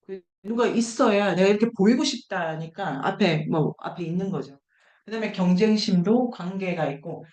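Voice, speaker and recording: A woman's voice: medium pitch, roomy sound. Woman leading an informal seminar, questioning style. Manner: conversational, questioning, repeats key words for emphasis. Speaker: lecturer leading an online book seminar. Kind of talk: informal seminar lecture, thinking aloud with questions to participants.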